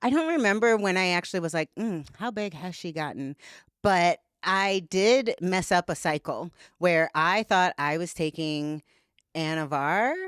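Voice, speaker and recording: A woman's voice: on the low side, lively intonation, a little slow, clean tone, no echo, studio quality. A woman guest on a podcast, speaking frankly and casually, swearing freely and filling her talk with "like". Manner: blunt, profane, talks with lots of "like". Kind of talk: candid casual conversation.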